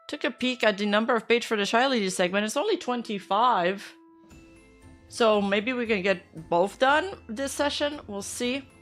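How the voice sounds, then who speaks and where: A woman with a somewhat rough, hoarse voice, chatting informally as she hosts a livestream. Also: mid-range voice, a bit bright. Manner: informal, giggly. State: dry, hoarse voice. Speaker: livestream host. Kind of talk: casual chat to livestream viewers.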